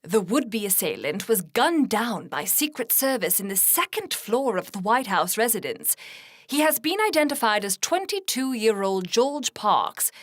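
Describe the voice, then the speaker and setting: A woman's voice: fairly high pitch, sing-song, dry recording, studio quality. A woman playing a composed, formal 1960s radio news anchor in a scripted drama. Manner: measured, formal newsreading.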